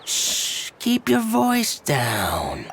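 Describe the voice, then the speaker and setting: A man's voice: a little high, huge pitch swings, gravelly, music in the background, exaggerated voice. A man playing a shady, sly market trader in a video game, with a conspiratorial manner of talking. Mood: secretive, sly. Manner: hushed, conspiratorial, drawn-out.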